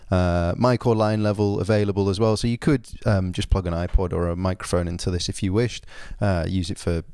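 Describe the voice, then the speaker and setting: A man giving an informal spoken product review, explaining things in a measured, understated way. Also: low voice, a little mellow, clear, resonant tone, dry recording, clean studio sound.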